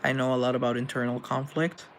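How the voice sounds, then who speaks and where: A man's voice: medium pitch, fairly even intonation, quick pace, slight background noise. A young man from Colombia hosting a casual live show, reading out chat questions and answering in a rambling, earnest way with self-deprecating asides.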